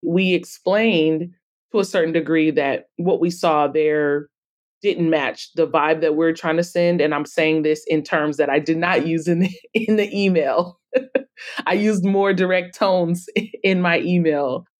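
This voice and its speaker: Low-pitched woman in a conversational interview. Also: fairly even intonation, unhurried, darkish tone. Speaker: guest author and anthology publisher.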